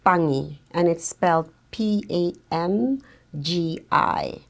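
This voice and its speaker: Middle-aged Surinamese woman, Dutch native, unhurried, slightly low, gravelly. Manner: slow, reflective, thoughtful with frequent pauses. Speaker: guest social worker. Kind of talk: podcast interview.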